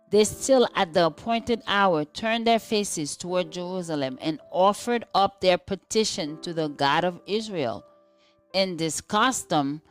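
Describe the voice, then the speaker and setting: A woman giving a measured, solemn reading of a scripted devotional. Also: on the low side. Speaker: devotional reader.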